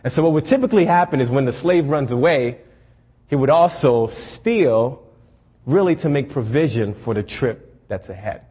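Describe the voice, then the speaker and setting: Husky-voiced young man preaching. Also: mid-range voice, expressive, dark tone. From Haiti. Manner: earnest, measured delivery with frequent ums. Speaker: church intern preaching. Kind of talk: sermon with announcements.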